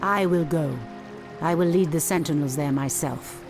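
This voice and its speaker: Proud elf priestess general, formal n assertive, game cutscene, loud music under it. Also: female voice, sits low, moderate pace. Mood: resolute. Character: proud resolute elven high priestess and army commander. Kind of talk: dramatic video game cutscene acting.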